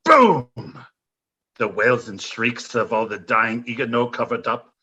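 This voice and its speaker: A villainous French nobleman for an audio play. The voice is sharp-edged and raspy, grandly theatrical and full of mocking relish, with drawn-out, florid phrases. The recording sounds a little dull. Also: medium pitch, lively intonation, exaggerated voice. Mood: scornful, zealous.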